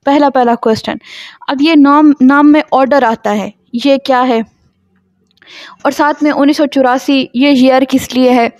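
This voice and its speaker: A woman's voice: sits high, darkish tone, no echo. A woman with a Pakistani accent giving a law lecture in a didactic way, explaining clauses and posing questions to her students. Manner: didactic, poses questions and answers them. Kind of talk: scripted-outline lecture explaining a statute, reading clauses aloud.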